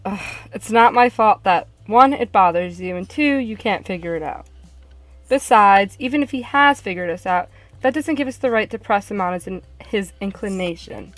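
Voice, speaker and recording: A woman's voice: mid-range voice, expressive, normal speed, no echo, soft background music, natural voice. A woman voicing a reluctant, defensive young woman, the heroine of a visual novel.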